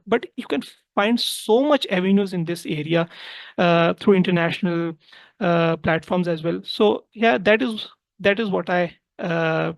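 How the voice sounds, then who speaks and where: A woman's voice: on the low side, gravelly, no room sound. A woman from Pakistan with a Pakistani accent, telling a personal story earnestly as an interview guest and often rephrasing points.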